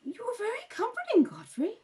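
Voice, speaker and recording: A woman's voice: extremely high pitch, huge pitch swings, fairly quick, mellow, heavily acted. A woman playing a dotty middle-aged society matron in a radio comedy, vague, fluttery and plaintive. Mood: dazed, plaintive. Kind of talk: 1930s radio comedy read-through.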